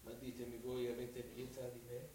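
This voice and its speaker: An actor playing a broken, ashamed drunkard father in a hushed, confessional radio drama monologue. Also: medium pitch, monotone, moderate pace, close to an everyday voice. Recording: hissy, very echoey, distant from mic.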